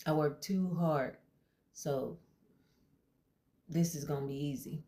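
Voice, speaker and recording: A woman voicing a brash, defensive young mother in therapy who talks loud and fast and pushes back on every question. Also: low voice, steady tone. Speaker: therapy client. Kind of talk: comedy sketch acting.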